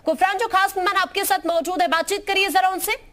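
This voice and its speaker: A woman anchors a live television debate. Her voice is high-pitched as she hands over between guests and puts questions to them. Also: steady tone, brisk, fairly bright tone, soft background music. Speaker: news anchor.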